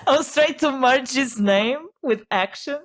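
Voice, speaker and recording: A woman from Portugal, co-hosting a film podcast, joking and giggling. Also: sits high. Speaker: podcast co-host. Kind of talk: casual film chat.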